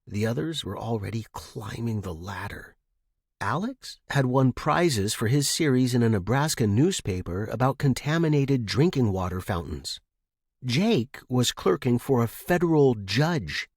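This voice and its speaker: A man presenting and narrating as host of a fiction podcast, reading in an expressive storytelling style. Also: medium pitch, a little slow, slightly rough, dry recording, clean studio sound.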